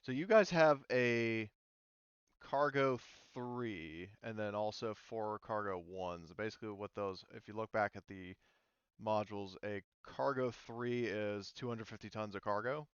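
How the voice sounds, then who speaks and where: A man running a tabletop roleplaying game, casually explaining rules in a halting, rambling, self-interrupting way. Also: on the low side, clean tone, dry recording, lo-fi sound. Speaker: game master.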